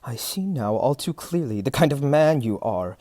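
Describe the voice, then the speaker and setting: An actor playing a proud, hot-tempered captain, declaiming in a theatrical period audio drama style. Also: male voice, medium pitch, expressive, normal speed, mellow, dry recording, studio quality, lightly acted. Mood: furious. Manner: declamatory, indignant.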